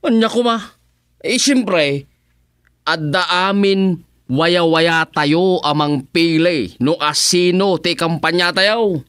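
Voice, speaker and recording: A man's voice: on the high side, takes it slowly, a bit bright, clean tone, dry recording, studio quality. A man with an Ilocano Filipino accent playing a loyal, money-minded village councilor in a radio comedy-drama. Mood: defensive.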